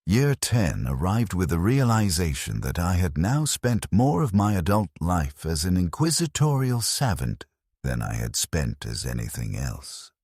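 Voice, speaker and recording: A man narrating a scripted first-person story in a measured, grave manner. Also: low-pitched, very animated, darkish tone, slightly rough, no room sound, studio quality.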